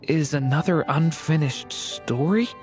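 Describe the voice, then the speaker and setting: An actor voicing a shy, earnest, gentle young boy in a video game, hesitant and polite. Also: medium pitch, measured pace, faint music behind, natural voice. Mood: earnest, puzzled.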